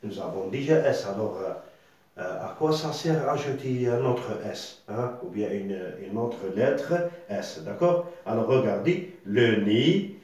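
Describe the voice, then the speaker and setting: A man whose first language is Arabic, teaching a slow, deliberate grammar lesson, repeating words and checking that the class follows. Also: mid-range voice, lively intonation, a little mellow. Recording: echoey room, far from mic.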